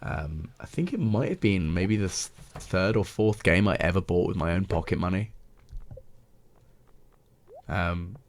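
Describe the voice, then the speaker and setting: A British man with a deep voice giving relaxed, meandering gameplay commentary with gentle, wry asides. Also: a little slow, pauses often. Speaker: let's play video host.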